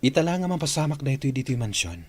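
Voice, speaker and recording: A man's voice: mid-range voice, very animated, fast talker, a little hiss or hum, lightly acted. A man playing an earnest, respectful grown son in a radio drama, speaking in a heartfelt way. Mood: respectful, pleading.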